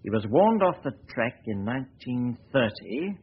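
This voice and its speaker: An actor playing a confident, suave gentleman detective in a 1940s radio drama, brisk and clipped, on an old recording. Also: medium pitch, very animated, slightly rough. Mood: thoughtful. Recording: dull, noisy 1940 radio recording.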